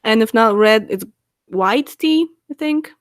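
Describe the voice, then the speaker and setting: Slow-talking Polish woman streamer, giggly. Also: a little high, expressive, no echo, studio quality. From Poland. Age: 20s. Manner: reads out chat questions and answers, giggly, halting with fillers. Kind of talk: casual live stream chat.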